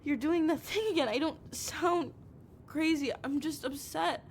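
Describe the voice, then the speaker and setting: A heartbroken, obsessive young woman, acted out by a woman in an intimate audio roleplay, with a fairly high voice. She stammers and repeats herself, raw with emotion, as she pleads with a partner. The recording has some noise and low rumble under it. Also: measured pace. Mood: upset, defensive.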